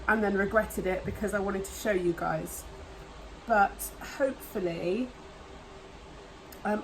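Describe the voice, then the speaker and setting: A woman's voice: mid-range voice, a little hiss or hum, poor audio. A woman with hay fever, chatting casually and gushing as she unboxes nail products at home. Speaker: nail vlogger. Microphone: camera mic, voice off camera.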